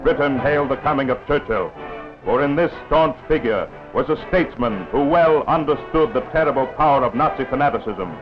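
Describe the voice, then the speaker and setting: A man's voice: medium pitch, lively intonation, a little fast. A man narrating a 1940s wartime newsreel in a grave, oratorical, scripted style. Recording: dull lo-fi 1940s film soundtrack with music.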